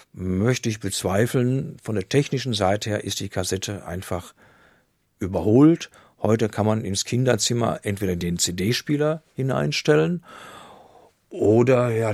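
A man's voice: mid-range voice, darkish tone, no room sound, clean studio sound. A man giving his opinion as an interviewee in a conversational way.

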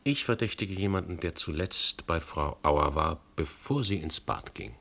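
An actor playing a shrewd, methodical police inspector in a German radio crime drama, reasoning insistently with a gravelly, husky voice. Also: male voice, sits low, animated, a bit bright, heavily acted. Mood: probing, methodical. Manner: deliberate, insistent, reasoning point by point.

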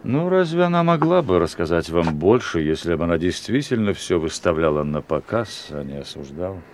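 An actor playing a sardonic, knowing stage actor in a radio play, with an ironic, theatrical way of talking. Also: male voice, sits low, very animated, fairly quick, a little mellow, a little hiss or hum. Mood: sardonic, reflective.

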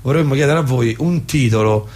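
A man's voice: mid-range voice, a little fast, heavy background noise. A man hosting a live radio show as an improv performer, talking casually and jokingly, often breaking off mid-sentence. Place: radio studio with others present. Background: live guitar music.

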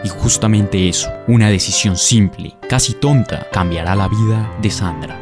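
A man acts as a solemn storyteller for a radio soap opera. The voice is low and dramatic, laid over clear background music. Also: moderate pace, close to an everyday voice. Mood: solemn, suspenseful. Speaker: narrator. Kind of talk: scripted dramatic narration.

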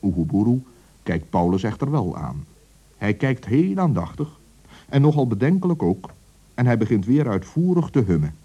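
Lively man narrating a children's story. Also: medium pitch, normal speed, breathy edge, dull audio.

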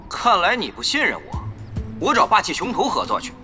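A man's voice: high voice, wildly swooping pitch, brisk. A man playing a sly, haggling rival guild leader in an audio drama. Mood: needling. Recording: music under the dialogue.

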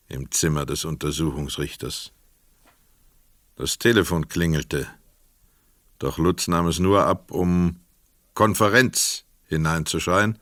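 A man narrating a crime radio play as scripted literary narration, in a sober, measured and detached manner. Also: extremely low pitch, fairly bright tone, dry recording, studio quality, heavily acted.

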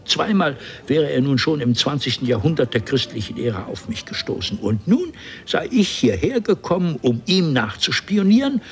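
An actor playing a haughty, eloquent nobleman from an ancient civilization, telling his story theatrically and deliberately in a 1970s German radio play. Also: a little high, very animated. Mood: indignant, agitated.

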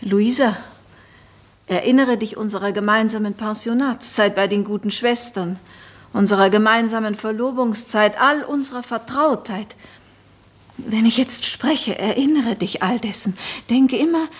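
An actress playing a married noblewoman confiding a strange idea to an old friend in a radio drama. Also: fairly high pitch. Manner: measured, earnest, confiding.

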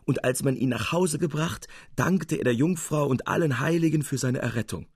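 A man's voice: mid-range voice, moderate pace, husky, no room sound. German man reading literature, dry studio sound. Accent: standard German. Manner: expressive, acting out dialogue. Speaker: audiobook narrator. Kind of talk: dramatic literary narration. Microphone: close studio microphone.

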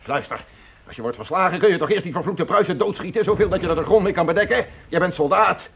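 An actor playing a stubborn, belligerent factory owner, a proud civilian, in a Dutch radio drama. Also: male voice, high-pitched, expressive, fast. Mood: fierce, urging. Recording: muffled, hissy old radio play recording.

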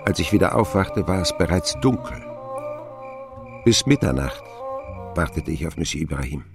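An actor playing a grown man who recalls his boyhood in measured, reflective radio drama narration. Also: low voice.

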